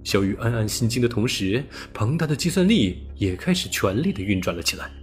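A man's voice: fairly low pitch, very animated, a little fast. A man reading a science fiction audiobook as narrator, in a scripted, dramatic storytelling style. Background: music.

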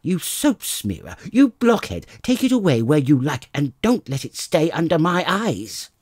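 Man putting on a shrill scolding wife. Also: wildly swooping pitch, a little slow, husky, dry recording, studio quality, exaggerated voice. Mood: furious scolding. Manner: screaming insults, furious. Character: shrill scolding middle-aged barber's wife.

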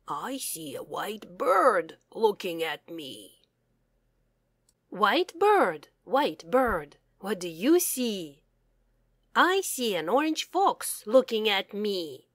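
A woman reads a children's picture book aloud at a very slow, deliberate pace. Her pitch rises and falls in a lively sing-song way. The recording is dry and close. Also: dry recording. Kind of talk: slow read-aloud for young learners.

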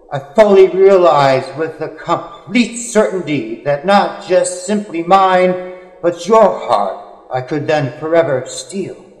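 A man reading poetry in a solemn, dramatic style, with earnest, measured phrasing and drawn-out pauses. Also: high voice, darkish tone. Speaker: poet. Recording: echoey, long hall-like reverb.

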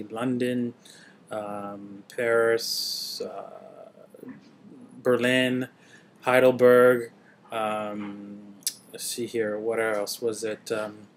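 A man in his thirties, born in Trinidad and raised in Canada, chatting casually to a webcam from a small home office, rambling with many filler pauses. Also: medium pitch, a little hiss or hum. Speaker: vlogger. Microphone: webcam at desk.

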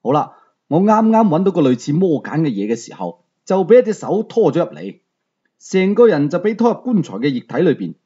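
Male audiobook narrator, Hong Kong Cantonese accent: mellow tone, animated delivery, conversational first-person storytelling. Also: fairly high pitch, fairly quick, slightly rough, dull audio.

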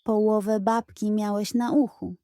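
A blunt farmyard gander played by a woman in a children's audio story. He tells on others in an accusing, unhurried way, with a mellow tone and a dry, close recording. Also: medium pitch, fairly even intonation, dry recording, natural voice. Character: male gander, sleepy latecomer, blunt tattletale.

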